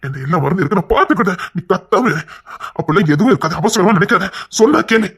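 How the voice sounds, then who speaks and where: An actor playing a stubborn, controlling husband and anxious father-to-be in an emotional melodrama, insistent and repetitive, moving between hushed coaxing and agitated scolding. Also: high voice, expressive, quick pace, breathy edge, exaggerated voice. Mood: firm, hushing.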